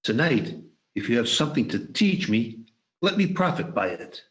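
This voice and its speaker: A man's voice: medium pitch, very animated, moderate pace, fairly bright tone. A man voicing an old miser who has been humbled by a ghost, speaking in hesitant, stammering lines. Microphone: video call.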